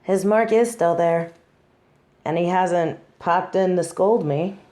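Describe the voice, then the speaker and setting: A woman playing a snobby, wry tsundere who judges others, in an intimate scripted audio roleplay with deliberate, dramatic delivery. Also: fairly low pitch, dark tone. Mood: fond, playful.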